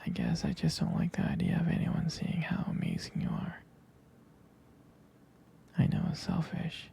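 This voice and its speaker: A man playing a tender, jealous, devoted boyfriend in an intimate ASMR roleplay, speaking haltingly in a breathy, husky whisper. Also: fairly high pitch, wildly swooping pitch, mellow, exaggerated voice. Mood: possessive, tender. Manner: hesitant, intimate, halting delivery.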